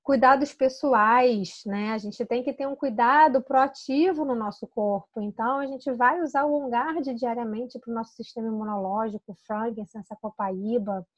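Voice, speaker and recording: A woman's voice: on the high side, normal speed, few pauses, no echo, highs cut off. A Brazilian woman consultant explaining how to use products, chatty and persuasive, often adding tag questions and restarting sentences. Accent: Brazilian Portuguese. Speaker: essential oils consultant teaching product use. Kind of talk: informal explanatory talk to camera.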